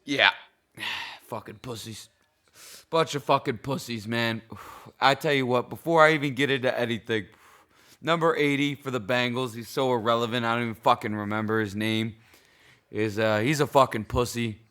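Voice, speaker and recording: A tipsy man with a worn-out, cracking voice rants about a football game, swearing often and wandering off track. His tone is bright and forceful, and his voice stays smooth and clear beneath the hoarseness. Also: medium pitch, steady tone, normal speed. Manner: profane, heated, rambling. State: hoarse, intoxicated. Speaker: sports fan vlogger. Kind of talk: casual game reaction rant.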